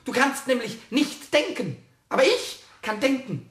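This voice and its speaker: A tiny, cheeky kobold with a very high, squeaky, childlike voice that leaps all over the place. He boasts, takes offence quickly and stumbles over repeated words in a roomy-sounding children's radio play. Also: big pitch swings, fairly bright tone, full character voice. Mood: scornful, taunting. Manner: stammers and repeats words when worked up.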